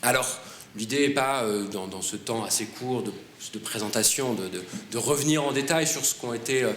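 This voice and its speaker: A man's voice: mid-range voice, normal speed, fairly bright tone. A man presenting his co-authored book at an award ceremony panel, speaking hesitantly with many fillers and restarts. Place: conference hall. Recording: roomy hall with faint noise.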